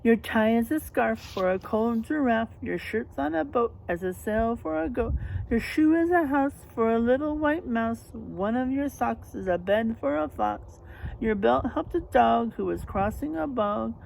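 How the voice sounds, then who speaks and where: Friendly, grateful animals from a children's storybook, all voiced by a woman. They speak in an encouraging singsong, high and animated, with faint noise behind. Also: measured pace, vocal fry, low-quality recording. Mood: warm singsong.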